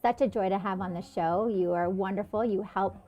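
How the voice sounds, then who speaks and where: A woman's voice: mid-range voice, steady tone, brisk, muffled recording. A woman hosts an interview show and keeps the conversation going. She sounds encouraging and affirming, praising her guest and asking about the year ahead.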